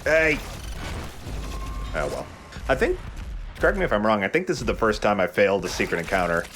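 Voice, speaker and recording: A man's voice: fairly low pitch, sing-song, fairly quick, fairly bright tone, a little hiss or hum. A man hosting a let's play with chatty, casually sweary gaming commentary.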